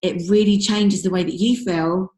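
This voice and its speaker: A woman with a husky, fading voice, coaching her sales team on a call in a chatty way full of fillers. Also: mid-range voice, steady tone, moderate pace, mellow. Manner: chatty, many fillers like "um" and "you know". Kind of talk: casual motivational coaching talk. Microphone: video call. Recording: echoey room over a video call.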